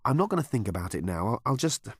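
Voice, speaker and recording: Guy doing a squeamish flustered everyman in an audiobook, hesitant and indignant, pitch jumping about. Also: sing-song, fast, husky, dry recording, studio quality. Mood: flustered, sulky. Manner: hesitant, stammering protests. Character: horrified, flustered, squeamish Earthman.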